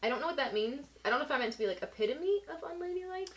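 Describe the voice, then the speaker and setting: A young woman with a fairly high voice reads a story dramatically and chats casually about it. She is playful and giggly, with music clearly playing behind her. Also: animated, rapid-fire. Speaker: host. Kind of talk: dramatic reading with casual commentary.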